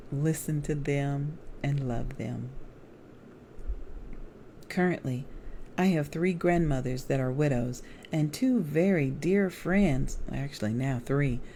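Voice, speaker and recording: Low, unhurried American woman reading memoir. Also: warm tone, a little hiss or hum. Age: middle-aged. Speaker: author and evangelist reading from own book. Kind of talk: memoir read aloud.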